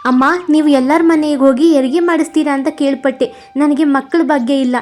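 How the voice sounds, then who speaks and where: A woman's voice: high voice, steady tone, a little fast, warm tone, natural voice. A woman voicing a childless young village wife in a cartoon, pleading and longing.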